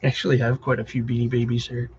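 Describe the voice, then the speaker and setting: A man's voice: medium pitch, completely flat delivery, fast talker, a little mellow, muffled recording, slight background noise. A middle-aged man with a Wisconsin accent, chatting casually and rambling on a live stream, often distracted and chuckling.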